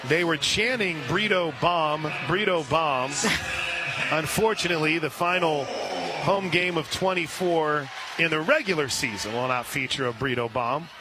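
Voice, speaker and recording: A man calling live softball play-by-play on the radio as the announcer, with an excitable delivery. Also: on the high side, a little slow, music in the background. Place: at a softball stadium. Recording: noisy live radio broadcast with stadium ambience. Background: clear background noise, ballpark ambience.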